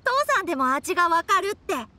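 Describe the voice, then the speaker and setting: Woman as an eager, high-voiced little boy. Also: sing-song, fast, bright, forceful tone, no echo, full character voice. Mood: happy, eager. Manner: childlike, eager. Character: eager, affectionate young son, a boy. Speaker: game character voice actor. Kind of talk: video game dialogue.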